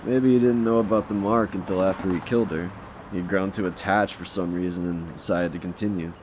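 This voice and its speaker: A man playing a sarcastic deep-voiced detective. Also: fairly even intonation, brisk, background noise, close to an everyday voice. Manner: sarcastic, crude remarks. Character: cynical male detective named Worst. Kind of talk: audio drama acting.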